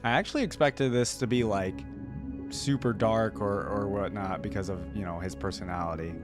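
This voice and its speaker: A man's voice: lowish voice, normal speed. A man giving casual reaction commentary as host, thinking aloud with some hesitation. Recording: music playing behind the voice.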